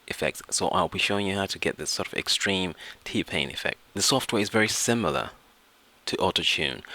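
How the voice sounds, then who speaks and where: A man narrating a software tutorial, explaining step by step in an instructional way. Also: deep voice. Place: indoors, voice-over to screen recording.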